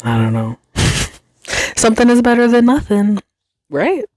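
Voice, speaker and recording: A woman's voice: on the high side, very animated, measured pace, a little mellow, dry recording. A young woman hosting her own podcast, talking alone in a casual, reflective, rambling way with plenty of fillers. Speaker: podcast host. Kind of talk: casual solo podcast monologue.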